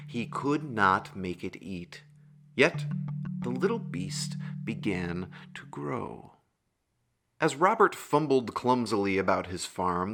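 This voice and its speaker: A man's voice: mid-range voice, bright, forceful tone. A man narrating a scripted fairy tale for a puppet show in a theatrical storyteller manner.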